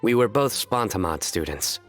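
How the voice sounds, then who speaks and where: Low, deadpan young scholar. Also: male voice, soft background music. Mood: matter-of-fact. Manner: deadpan, measured, formal. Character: composed, deadpan young male scholar who loves puns. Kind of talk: scripted video game voice acting.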